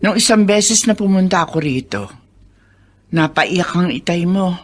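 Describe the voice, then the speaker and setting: A woman's voice: fairly low pitch, lively intonation, a little slow. A woman playing an elderly, earnest, gossipy family friend in a radio drama, speaking frankly. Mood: earnest, confiding. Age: older.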